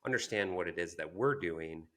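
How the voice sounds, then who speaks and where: A man co-hosting a podcast, talking casually and reflectively, pausing to search for his words. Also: deep voice, animated, fairly bright tone. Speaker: podcast co-host.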